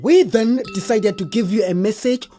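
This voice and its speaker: A woman's voice: mid-range voice, moderate pace, dark tone, faint music behind, lightly acted. A woman with a South African accent playing a sensible, bossy creator spirit in children's audio theatre. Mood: firm.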